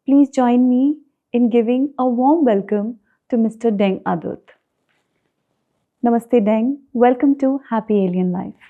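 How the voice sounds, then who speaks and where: A woman's voice: a little high, measured pace, narrow, tinny audio. A woman hosting an interview podcast, reading a polished, upbeat introduction and asking questions. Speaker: podcast host.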